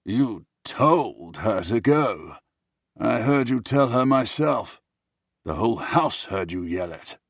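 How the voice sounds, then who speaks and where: A man performs an elderly male butler with a slow, deliberate delivery and a gravelly edge. He is dry and sarcastic, an unbowed old servant in an audiobook who reproaches his master. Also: mid-range voice, big pitch swings, darkish tone, no echo, pitched above the performer's own voice, heavily acted. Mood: reproachful. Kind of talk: audiobook character dialogue.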